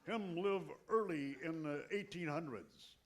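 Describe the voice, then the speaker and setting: An actor playing a stoic, literal-minded sidekick who speaks deadpan broken English in a radio comedy. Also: male voice, a little high, a little slow, lightly acted. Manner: deadpan, clipped broken grammar. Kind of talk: old time radio comedy acting.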